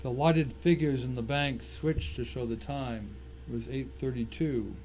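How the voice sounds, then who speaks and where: A man's voice: mid-range voice, a little slow, a little mellow, lightly acted, low-quality recording. A man as a tense thriller narrator, faint hum. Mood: grim. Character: tense, hard-bitten male thriller narrator telling his own ordeal. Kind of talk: dramatic first-person scripted narration.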